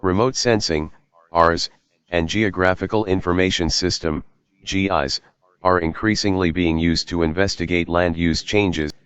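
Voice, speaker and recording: A computer-generated man reads academic prose aloud. The robotic voice is deep and smooth, and the audio is dull and lo-fi. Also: fairly even intonation, normal speed, fairly bright tone, dry recording. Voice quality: synthetic, robotic. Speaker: text-to-speech reader. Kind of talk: synthetic read-aloud of academic text.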